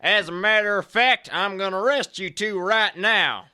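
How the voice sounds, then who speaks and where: A man's voice: high-pitched, normal speed, sharp tone, clear, resonant tone. A man playing a folksy, exasperated old west lawman keeping order in a comedic radio play. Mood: commanding.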